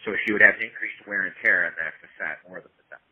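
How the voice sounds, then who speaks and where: A man's voice: fast talker, slightly rough, no echo. A man giving casual professional advice on a phone consultation, explaining step by step and checking in as he goes. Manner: explanatory, checks in with "right?" and "you know". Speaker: medical consultant advising a colleague. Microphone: telephone line. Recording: tinny narrowband phone call, low audio.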